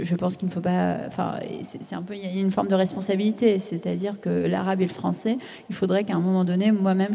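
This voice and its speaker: A woman writer on a panel who speaks hesitantly, with many pauses and restarts. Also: fairly low pitch, vocal fry, mellow, big-room sound. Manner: hesitant, with frequent fillers and restarts. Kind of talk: panel discussion.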